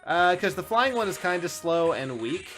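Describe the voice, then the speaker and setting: A man's voice: high voice, lively intonation, moderate pace, a bit bright. A man co-hosting a game show, giving casual, informal and sweary commentary as he plays. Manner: informal, profane. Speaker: video game show co-host and player. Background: game music.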